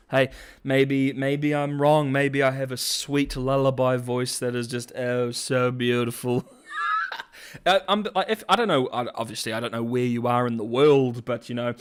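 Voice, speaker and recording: A young man hosting a casual livestream, talking in a loose, rambling way. He fills his sentences with "like" and "uh" and breaks into laughter often. His voice sits at an ordinary middle pitch for a man. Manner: animated, laughs often, filler-heavy rambling. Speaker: host.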